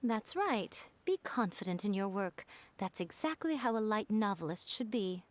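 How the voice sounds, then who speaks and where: A woman's voice: medium pitch, very animated, moderate pace, natural voice. A woman voicing a poised, sly, confident female schemer in a video game, composed and teasing. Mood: assured.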